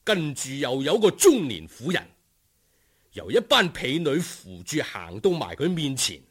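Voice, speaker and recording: A male Cantonese storyteller narrates in an expressive, dramatic way. His husky voice swings widely in pitch while keeping a slow, savouring pace. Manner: expressive, unhurried, savouring descriptions. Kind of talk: dramatic scripted folk-tale narration.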